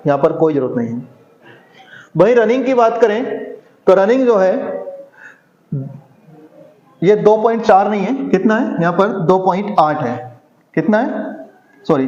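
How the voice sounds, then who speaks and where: Guy coaching exam students, high voice, keeps asking then answering his own questions, muffled lo-fi audio. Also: a little mellow. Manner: asks himself rhetorical questions and answers them; repeats key figures for emphasis. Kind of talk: explanatory exam-prep lesson. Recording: echoey room, dull lo-fi sound.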